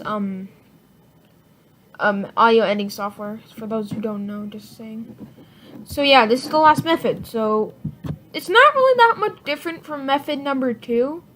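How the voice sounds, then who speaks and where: A woman giving a casual, unscripted software tutorial in an informal, rambling way, often correcting herself. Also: on the high side. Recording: noisy home recording with clicks.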